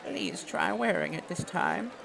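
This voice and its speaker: A man's voice: sits high, measured pace, creaky, noisy background, pitched above the performer's own voice, heavily acted. A man putting on a frail, kindly old woman. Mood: gentle pleading.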